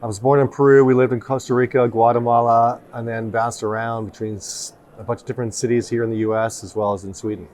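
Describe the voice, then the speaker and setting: A man's voice: mid-range voice, level tone, fast, hardly any pauses, a little mellow. A middle-aged man, a company chief executive being interviewed in a conference studio, talking in an upbeat, matter-of-fact, plainspoken way. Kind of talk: conversational interview.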